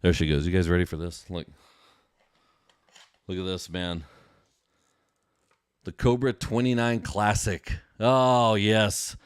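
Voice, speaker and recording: A middle-aged American man with a low voice and a dry, close sound. He chats informally as a podcast host, enthusiastic and full of casual slang. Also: level tone, takes it slowly, pauses often, smooth, no echo. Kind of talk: casual solo livestream chat.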